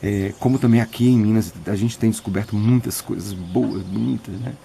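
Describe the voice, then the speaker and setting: Soft-spoken priest. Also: male voice, on the low side, steady tone, normal speed, gravelly, a little hiss or hum. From Piauí, Brazil. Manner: thoughtful, many restarts and filler "né". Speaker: retreat preacher, priest. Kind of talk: reflective interview answers.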